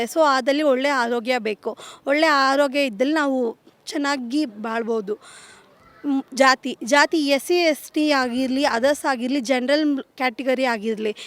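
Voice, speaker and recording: A woman's voice: high voice, dry recording. A woman giving an informal opinion speech as a contest participant, plain-spoken, mixing in English words.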